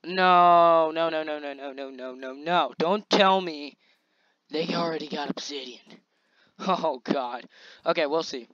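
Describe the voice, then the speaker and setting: A young man talks over his gameplay in a loose, slangy way, hyping himself up as the action goes. His voice is a little scratchy and sits slightly high for a man. The recording is dry and a bit dull, like a basic home mic. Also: unhurried, no room sound. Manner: excitable, chatty, slangy hype while playing. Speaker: gaming channel host. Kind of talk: casual gameplay commentary.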